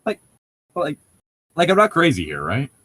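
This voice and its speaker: A man's voice: a little high, huge pitch swings, moderate pace, sharp tone, slightly rough, no echo. A man chatting casually as a co-host, explaining things in a rambling, nitpicky way. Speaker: podcast co-host reading and riffing on a novel. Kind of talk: casual banter and commentary.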